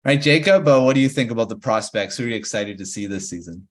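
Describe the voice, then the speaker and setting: A man hosting a casual podcast roundtable, relaxed and quick to chuckle. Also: mid-range voice. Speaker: podcast host.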